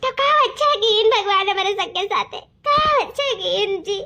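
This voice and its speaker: A tiny, extremely high-pitched cartoon daughter-in-law from the village. She laments in an eastern Uttar Pradesh accent, plaintive and wailing. Her delivery is melodramatic and aggrieved, circling back over the same complaints. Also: normal speed, sharp tone, full character voice. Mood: bitter, tearful. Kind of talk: melodramatic comedy cartoon dialogue.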